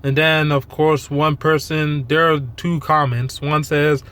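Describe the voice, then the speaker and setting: A man giving an unscripted, rambling rant in a monotone, halting way, blunt and self-deprecating with casual swearing. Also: a little high, clean tone. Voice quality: monotone delivery. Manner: halting, rambling, loosely strung thoughts. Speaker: fitness vlogger.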